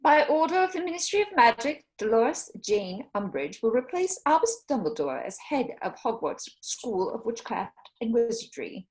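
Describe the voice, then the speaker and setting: A woman's voice: a little high, very animated, moderate pace, hardly any pauses, darkish tone, rough recording. A woman playing a smug, officious middle-aged headmistress in a script read, prim and formal. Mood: smug, official. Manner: prim, formal, self-satisfied. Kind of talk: table read of a film script.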